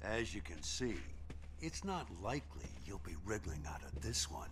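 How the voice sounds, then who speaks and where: Stern, lowish-voiced agency director. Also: male voice, sing-song, moderate pace, noisy background. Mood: calm, confronting. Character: steady, resolute middle-aged male director of an agency. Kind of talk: video game cutscene acting. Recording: game music behind the voice.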